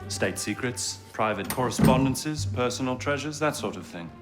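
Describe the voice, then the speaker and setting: A man's voice: medium pitch, animated, normal speed, close to an everyday voice. A man playing a suave, cynical army officer in a video game, urbane, articulate and dryly witty. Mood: wry, sly. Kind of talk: video game cutscene acting. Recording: music behind the voice.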